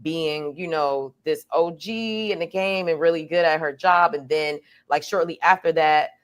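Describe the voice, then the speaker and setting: A woman's voice: low voice, a little slow. A woman co-hosting a podcast, speaking in casual, slangy conversation and reflecting on her views. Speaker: podcast co-host. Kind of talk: casual chat.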